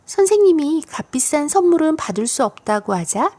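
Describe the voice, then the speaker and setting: A woman's voice: high voice, big pitch swings, moderate pace, darkish tone. A woman reading a scripted children's story as an audiobook narrator.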